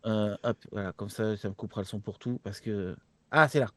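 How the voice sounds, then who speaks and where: A man's voice: lowish voice, steady tone, a little fast, a bit bright, dry recording. A man chatting casually as co-host of a show, often repeating words for emphasis. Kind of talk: casual chat, informal announcement.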